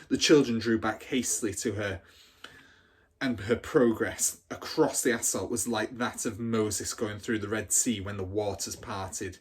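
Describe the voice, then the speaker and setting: Dramatic male storybook reader, slightly husky. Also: lowish voice. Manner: dramatic, emphatic. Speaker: teacher reading aloud. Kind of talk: expressive children's storybook narration.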